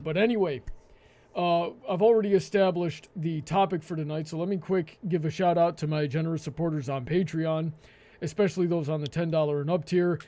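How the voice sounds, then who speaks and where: A man hosting a live panel discussion, with a hesitant, halting delivery that often stammers and repeats words. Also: a little high, mellow. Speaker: host.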